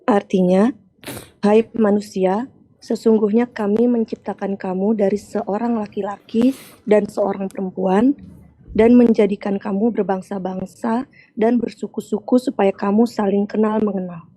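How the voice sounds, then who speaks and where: Calm woman reciting, flat and mellow. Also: medium pitch, no echo, rough recording. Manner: measured, even recitation. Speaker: Quran reciter invited to read. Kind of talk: Quran recitation and reading a translation.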